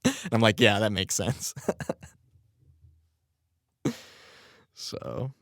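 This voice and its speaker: Young man, low-pitched voice, dry close sound, rambling casual podcast chat, swears a lot. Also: huge pitch swings, very slow, gravelly, fairly bright tone, no echo. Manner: laid-back, rambling, swears often, laughs at himself. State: voice recovering, slightly strained. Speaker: podcast host and rapper.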